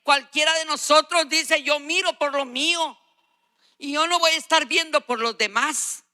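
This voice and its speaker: A woman's voice: sits high, normal speed, sharp tone. A woman in her sixties or older preaching a sermon, emphatic and exhorting, repeating phrases for weight. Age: 60s or older. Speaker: guest preacher.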